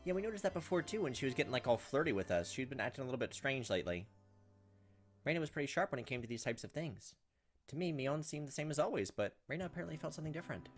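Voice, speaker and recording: Male gaming host, brisk delivery, reads game text with wry casual asides, music underneath. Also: mid-range voice, big pitch swings. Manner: jokey asides and reactions. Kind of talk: casual let's play, reading visual novel text aloud with offhand commentary. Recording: music behind the voice. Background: visual novel game music.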